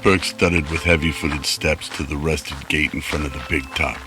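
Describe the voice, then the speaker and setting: A man's voice: a bass voice, steady tone, normal speed, over music. A man reading scripted narration for an old-style radio drama.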